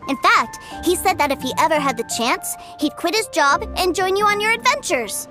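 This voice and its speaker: A woman's voice: very high-pitched, lively intonation, normal speed. A woman voicing a cheerful, innocent little boy with an earnest, childlike manner in a video game. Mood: innocent, matter-of-fact. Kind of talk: English game dub acting. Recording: background music under the voice.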